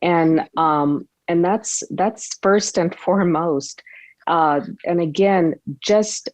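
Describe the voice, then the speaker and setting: Female workshop speaker, low register, unhurried and halting, conversational, dry video-call sound. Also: darkish tone, no room sound. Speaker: guest speaker leading a workshop. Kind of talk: conversational presentation on a video meeting. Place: online video meeting.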